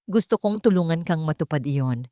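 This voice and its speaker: A woman's voice: low-pitched, lively intonation, moderate pace, dark tone, dry recording, studio quality. A woman voicing a devoted, supportive businessman in love in an audio romance story, earnest and encouraging. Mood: tender, earnest.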